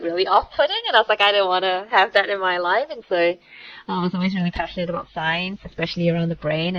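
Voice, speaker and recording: Woman, 30s, from Malaysia, founder guest; lowish voice, chatty with filler asides, slightly dull recording. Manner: chatty, quick asides with frequent "like" and "kinda". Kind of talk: casual interview talk.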